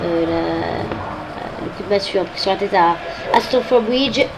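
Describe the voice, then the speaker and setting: A woman's voice: mid-range voice, a little slow, heavy background noise. A woman with a head cold commenting on a football video game, hesitant and full of filler sounds. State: has a cold.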